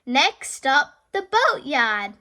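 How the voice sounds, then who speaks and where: Picture-book granddad dog, read by a woman: elderly, helpful, high and bright. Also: big pitch swings, drawn-out words, exaggerated voice. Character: obliging old grandfather dog with boat and truck.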